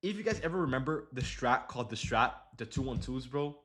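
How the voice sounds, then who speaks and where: A man's voice: mid-range voice, expressive, a little fast, a bit bright. Laid-back young man streaming his trades. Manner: loose slangy talk, many fillers and restarts. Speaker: day trading streamer. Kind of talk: casual livestream chat while trading.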